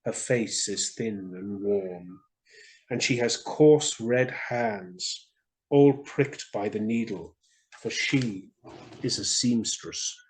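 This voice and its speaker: Man as a gentle, sorrowful statue prince. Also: takes it slowly, slight background noise, low-quality recording. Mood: compassionate. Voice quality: low, musical.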